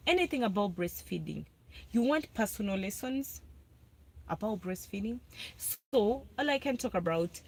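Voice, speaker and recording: A woman's voice: medium pitch, big pitch swings, moderate pace, rough recording. Ugandan woman talking insistently on a live stream. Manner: repetitive, insistent, answers viewer comments. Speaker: breastfeeding vlogger hosting a live video. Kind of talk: casual live-stream talk to viewers. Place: parked car.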